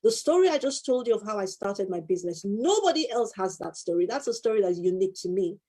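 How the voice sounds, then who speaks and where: A woman's voice: medium pitch, sing-song, quick pace, dark tone. A woman in her fifties, a life coach and former solicitor, giving an earnest motivational talk and repeating her key phrases for emphasis.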